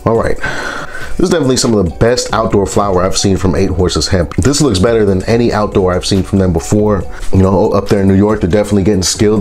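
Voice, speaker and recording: A man with a congested, stuffy voice from a sinus infection, reviewing products in a relaxed, rambling vlog. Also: lowish voice. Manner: relaxed, rambling, with frequent fillers.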